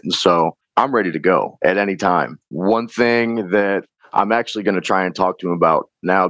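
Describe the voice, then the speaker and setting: A man with a slightly hoarse, raspy voice, a chef from Chicago talking in a laid-back, slangy way with casual swearing. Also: low voice, a bit bright, dry recording. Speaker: chef guest. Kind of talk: casual interview chat. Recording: dull, lo-fi podcast mic.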